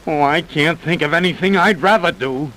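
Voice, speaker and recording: A man's voice: on the high side, normal speed, sharp tone. A man acting a squeamish, reluctant groundskeeper in a vintage radio drama, complaining in wry, colloquial speech. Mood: reluctant, grumbling. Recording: noisy vintage radio recording.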